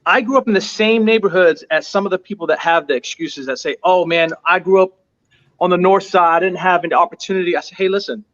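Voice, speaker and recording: A man's voice: sits high, fast, fairly bright tone, highs cut off. A man who owns a restaurant, telling his story as an interview guest with emphatic, earnest repetition. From United States. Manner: emphatic, repeats points for stress.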